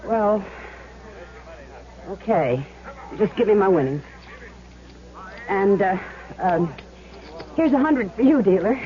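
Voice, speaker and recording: Uneasy accomplice, animated intonation, unhurried halting speech, radio play, muffled hissy vintage recording. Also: female voice, medium pitch. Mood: hesitant, defensive. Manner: hesitant, halting, questioning. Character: uneasy female accomplice posing as a lucky gambler. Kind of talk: old-time radio drama acting. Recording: dull 1970s radio recording, hiss.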